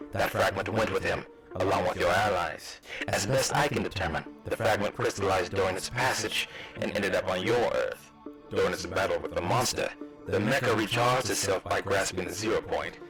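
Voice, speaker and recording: A man voicing a calm, formal, scholarly strategist in a comic reading, explaining in measured phrases. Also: low voice, natural voice, poor audio. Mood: calm, serious. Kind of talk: comic book voice acting. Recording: music clearly under the voice.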